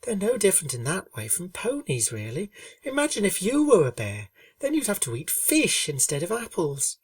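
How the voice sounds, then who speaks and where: A woman voicing a gentle, shy young female pegasus pony in a storybook reading, speaking softly and earnestly. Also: fairly low pitch, huge pitch swings, moderate pace, no echo, recorded from a distance, voice pushed up. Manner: soft-spoken, earnest, kindly.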